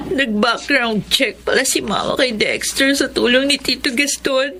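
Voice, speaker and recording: High young female voice, earnest radio drama heroine, emotional, faint background noise. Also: unhurried, sharp tone, full character voice. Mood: tearful. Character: emotional young woman, betrayed in love, later grateful. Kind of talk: Tagalog radio drama acting.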